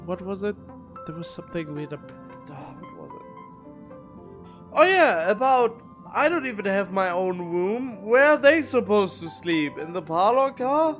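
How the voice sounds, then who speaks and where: A male game streamer thinks aloud in casual, rambling commentary. He talks slowly and hesitantly, with some game music behind him. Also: sits high, big pitch swings, a bit bright.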